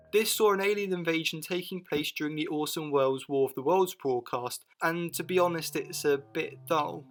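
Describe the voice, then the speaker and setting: A man narrating a scripted retrospective video essay, adding his own opinions. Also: on the high side. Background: music bed.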